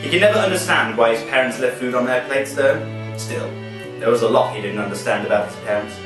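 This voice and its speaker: A man's voice: mid-range voice, quick pace. A man reading a comic story aloud in a whimsical, mock-formal way with playful asides. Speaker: storybook reader. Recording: echoey, distant voice with music behind.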